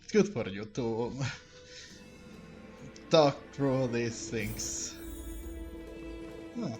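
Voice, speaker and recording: A man's voice: mid-range voice, expressive, drawn-out words, darkish tone. A man streaming a game, giving casual commentary in short remarks as he reads and reacts. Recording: faint game music behind.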